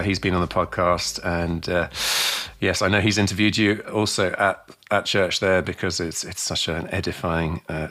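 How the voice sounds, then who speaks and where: A man hosting a faith podcast, who welcomes listeners and his guest with enthusiasm. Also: low voice. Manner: enthusiastic, warm-hearted welcomes. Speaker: podcast host. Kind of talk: podcast interview.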